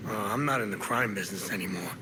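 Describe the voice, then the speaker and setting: An actor playing a suave, ingratiating, wry bar owner with a shady streak in a video game. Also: male voice, lowish voice, fast, natural voice. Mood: sly, ingratiating. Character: smooth, sly male bar owner, ex-criminal. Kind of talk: conversational video game acting. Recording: clear background noise.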